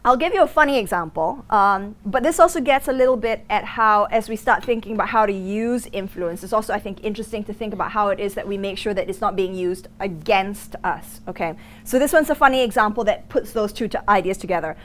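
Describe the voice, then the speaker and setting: A woman from Singapore with an accent blending Singaporean and American, giving an interactive business school lecture, asking the class questions and telling animated stories. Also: mid-range voice, brisk, slight background noise. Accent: muddled Singaporean and American.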